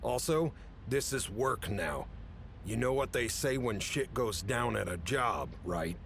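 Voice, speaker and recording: A man acts out an authoritative chief in an audio drama, mid-pitched and businesslike. He lays out orders in a measured, matter-of-fact way. There is a faint rumble behind the voice. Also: animated, vocal fry, a bit bright. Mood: firm. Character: gruff, businesslike male chief briefing agents on a mission. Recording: rumbly background noise.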